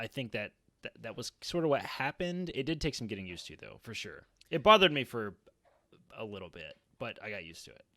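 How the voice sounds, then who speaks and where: A man's voice: on the low side, bright, forceful tone, no room sound. A man in his thirties talking casually and in a rambling way as a podcast co-host, close on a studio mic. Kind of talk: casual roundtable discussion. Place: small home room, indoors. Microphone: boom-arm studio mic up close.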